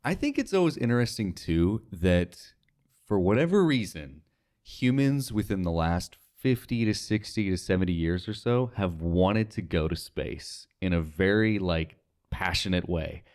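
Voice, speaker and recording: A man's voice: deep voice, expressive, measured pace, darkish tone. A young man talking casually as an interview guest, informal and rambling, often saying "like". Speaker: podcast guest, radio sales associate.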